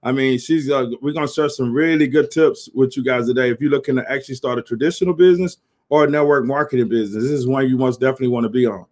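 A man's voice: mid-range voice, fast, darkish tone. A man, hosting a casual livestream interview over a video call from a small home office, talking with lots of energy and hyping up his guest. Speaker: host. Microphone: webcam on a video call.

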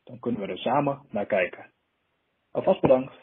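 A man's voice: mid-range voice, normal speed, breathy edge. A man speaking as a caller in a phone message, heard through muffled phone-like playback.

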